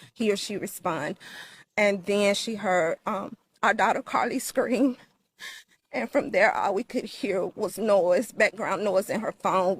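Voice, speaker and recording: Middle aged woman, kinda raspy, making a public appeal to reporters, plain and halting, just going through the facts. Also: medium pitch. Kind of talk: unscripted statement to news media.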